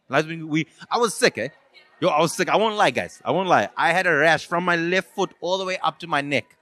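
A man's voice: fairly high pitch, sharp tone, dry recording. A South African man hosting a podcast, chatting casually and teasingly with a guest, often repeating words and answers. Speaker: podcast host. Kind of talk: casual vox-pop interview. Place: pre-party at a sports-match event. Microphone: handheld or table podcast microphone with headphones.

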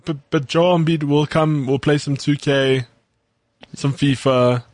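A man's voice: on the high side, fairly even intonation, measured pace, a little mellow, no room sound. A man co-hosting a podcast, easygoing and playful in casual banter. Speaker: podcast co-host.

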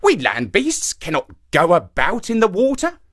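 A man voicing a clever, excitable monkey in an animal folktale, exclaiming theatrically with indignant, crafty airs. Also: high voice, moderate pace, pitched above the performer's own voice, exaggerated voice. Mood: doubtful.

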